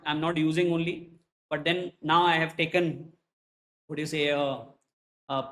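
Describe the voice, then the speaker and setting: Indian English man, late 30s, Hindi native speaker, accountancy teacher, high pitched, chatty, keeps checking in with the class. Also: a bit bright. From India, Hyderabad. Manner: chatty, checks in with "okay?" constantly. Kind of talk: classroom self-introduction.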